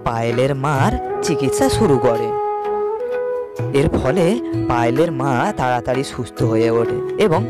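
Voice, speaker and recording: A man's voice: mid-range voice, animated, moderate pace, pauses often, loud music behind the voice. A man narrating a scripted moral story for a cartoon.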